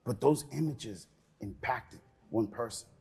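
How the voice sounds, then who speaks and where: A man's voice: medium pitch, measured pace, gravelly, darkish tone, slightly rough. A middle-aged man from Peru, raised in New York, speaking as a guest in an interview, earnest and passionate. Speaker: museum cultural program manager, guest.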